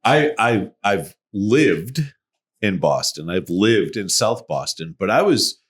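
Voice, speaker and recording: A man's voice: fairly low pitch, very animated, slow pace. A man from Massachusetts co-hosting a podcast in a studio, telling a story in casual chat and swearing freely. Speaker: podcast co-host.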